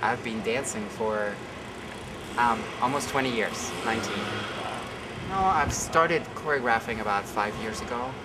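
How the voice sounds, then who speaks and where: A man from Belgium, a choreographer and ballet dancer, talking casually and thoughtfully in an interview, often pausing with fillers as he searches for words. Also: medium pitch, distant mic, heavy background noise.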